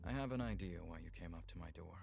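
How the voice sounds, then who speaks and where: A man voicing a calm, earnest male visual novel character who speaks in a measured, polite, formal way. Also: sits low, voice pushed down. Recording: music clearly behind the voice. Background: background music.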